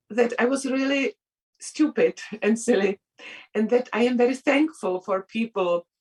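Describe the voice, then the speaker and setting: A woman whose first language is Czech, a film director answering interview questions in halting speech with many restarts. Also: fairly high pitch, darkish tone, breathy edge, recorded from a distance. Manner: halting, searching for words, frequent restarts.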